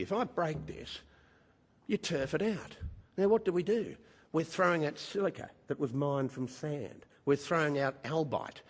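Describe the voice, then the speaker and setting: An older man gives a conference speech, emphatic and wry, full of rhetorical questions. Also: medium pitch. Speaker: conference speaker. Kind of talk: public speech at a mining conference. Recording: reverberant conference hall.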